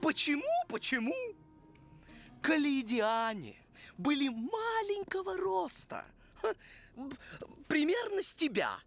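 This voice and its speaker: Pompous high-pitched alien professor. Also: female voice, sing-song, slow, gravelly, full character voice. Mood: condescending, lecturing. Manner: pompous, indignant, lecturing. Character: pompous, easily offended, vain alien professor and academician. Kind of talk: animated film voice acting. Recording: music behind the voice.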